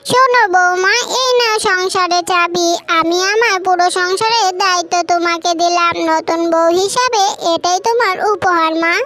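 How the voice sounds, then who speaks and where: A woman plays a cartoon tailorbird mother-in-law with an extremely high, childlike voice. She is touchy and emotional, always nagging her family. Children's music plays under her words. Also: moderate pace, few pauses. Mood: doting. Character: female tailorbird stepmother, doting but touchy, turning scolding mother-in-law.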